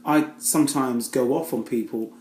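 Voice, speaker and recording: A man's voice: medium pitch, normal speed, darkish tone, echoey room. A British-born man giving a casual, rambling spoken review, full of fillers and restarts. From Britain. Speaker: film reviewer.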